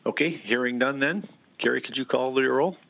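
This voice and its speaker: A man chairing a formal meeting in a halting, procedural way over a thin conference line. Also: mid-range voice, vocal fry, narrow, tinny audio.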